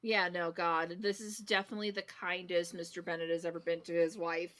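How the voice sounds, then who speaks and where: A woman's voice: fairly low pitch, little pitch movement, fairly quick, a bit bright. A woman chatting informally and bluntly about film as a podcast panelist. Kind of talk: casual film discussion.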